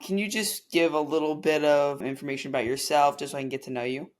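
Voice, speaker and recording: A man's voice: on the high side, steady tone, quick pace. A man hosting a podcast, asking long questions that he rephrases as he goes. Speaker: podcast host. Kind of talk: interviewing.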